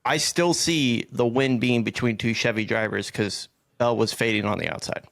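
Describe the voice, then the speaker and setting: Creaky-voiced man, dry recording. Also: medium pitch, fairly even intonation, normal speed, a bit bright, dry recording. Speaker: podcast producer. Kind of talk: casual off-the-cuff contributions.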